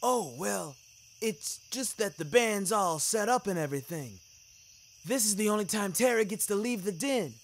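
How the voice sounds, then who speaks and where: Podcast wolf acted by a man: jocular hepcat bandleader, high-pitched, theatrical, lilting delivery. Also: animated, normal speed. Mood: deflated. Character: laid-back showy male wolf, jazz band leader. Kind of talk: fiction podcast character voice.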